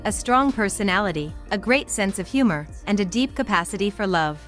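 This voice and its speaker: A woman's voice: mid-range voice, lively intonation, a little fast, a bit bright, soft background music. A woman narrating a contestant profile in polished promotional style. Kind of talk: scripted narration.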